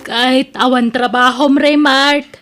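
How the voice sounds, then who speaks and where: A woman's voice: high-pitched, steady tone, measured pace, over music. An actress playing a pious, concerned mother who earnestly scolds and advises her grown son in an audio drama. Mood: scolding. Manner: admonishing, earnest. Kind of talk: Ilocano radio-style drama acting.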